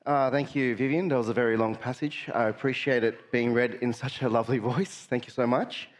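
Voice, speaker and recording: A man's voice: medium pitch, fairly even intonation, a little fast. A young man, a guest preacher with a cold that makes him slightly nasal, giving a friendly sermon full of filler uhs.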